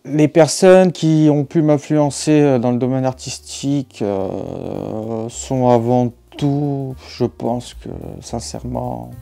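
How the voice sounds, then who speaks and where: A man's voice: mid-range voice, slow pace, creaky, few pauses, warm tone, soft background music. A man in his thirties from the Lyon region of France, answering interview questions about his painting in a hesitant way, full of fillers and restarts. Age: 30s. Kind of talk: casual spoken interview answers.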